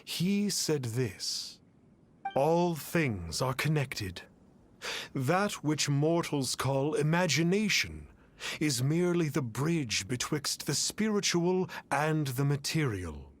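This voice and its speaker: Pompous, theatrically lilting self-styled immortal sage. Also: male voice, fairly high pitch, sing-song, slow pace, heavily acted. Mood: lofty, smug. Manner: pompous, archaic, grandiose phrasing. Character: pompous self-proclaimed immortal adept, a male fortune-telling charlatan. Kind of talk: theatrical video game voice acting.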